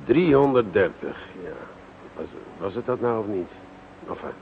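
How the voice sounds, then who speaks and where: An actor playing a pompous, bumbling, self-satisfied police commissioner in a radio play, muttering and blustering self-importantly. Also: male voice, lowish voice, expressive, moderate pace, gravelly. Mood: grumbling. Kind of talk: radio drama acting. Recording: muffled, noisy old radio recording.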